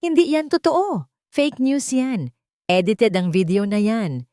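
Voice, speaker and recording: A woman's voice: fairly high pitch, lively intonation, takes it slowly, dark tone, dry recording, studio quality, voice pushed up. A woman voicing a scheming, panicky young bride in a dramatic audio storybook. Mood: frantic denial. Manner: pleading, protesting.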